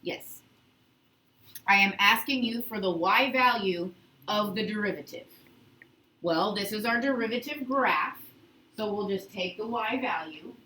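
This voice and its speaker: A woman teaching high school calculus to a class, instructive, often checking understanding with short questions and prompts. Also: measured pace, long pauses, sharp tone. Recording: echoey classroom, distant mic, faint noise.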